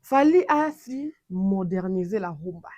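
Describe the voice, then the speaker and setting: A woman from Congo talks to her audience in a Congolese French accent, lively and full of restarts. Her voice sits at an ordinary middle pitch. The sound is dry and close, with no room around it. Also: measured pace, no room sound. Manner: animated, many restarts, mixes French and Lingala. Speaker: channel host and commentator. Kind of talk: casual commentary to camera.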